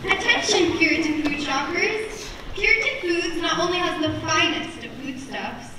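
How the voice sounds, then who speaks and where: A woman's voice: very high-pitched, lively intonation, moderate pace. A woman playing a supermarket announcer in a stage comedy, formally listing items for shoppers. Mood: promotional. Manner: formal, carefully enumerating. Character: chirpy supermarket announcer reading store promotions. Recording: very echoey, noisy, distant theatre recording.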